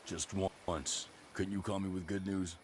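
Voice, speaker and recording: A gruff, sardonic soldier in a video game cutscene. His voice sits low and he talks in a plain, wry way. Ambient noise runs clearly behind him. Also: level tone, unhurried. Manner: dry, wry, plain-spoken. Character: gruff, sardonic modern male special forces soldier.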